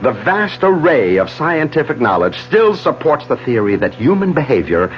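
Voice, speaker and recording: Male actor as rational doctor, agitated and formal, lively swooping intonation, dull vintage radio drama recording. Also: medium pitch, normal speed, lightly acted. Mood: firm, rational. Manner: stammering when alarmed, formal. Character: skeptical scientist doctor, agitated, earnest, rational man. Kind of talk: 1970s radio drama acting. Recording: dull 1970s radio broadcast, faint music.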